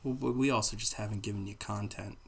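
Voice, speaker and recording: A man's voice: fairly low pitch, quick pace, husky. Loose, profane man cohosting a podcast. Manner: loose, profane, deadpan joking. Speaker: podcast co-host. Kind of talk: casual podcast chat.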